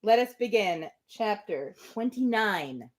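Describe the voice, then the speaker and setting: A woman's voice: medium pitch, lively intonation, slow pace. A woman hosting a live novel reading, moving between read-aloud prose and playful, conversational commentary.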